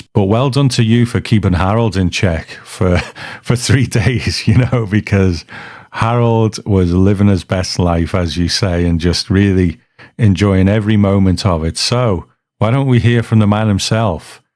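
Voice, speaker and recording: Low-pitched male podcast host, dry sound. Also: measured pace, a little mellow, no echo. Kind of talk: casual hosted commentary introducing listener reports.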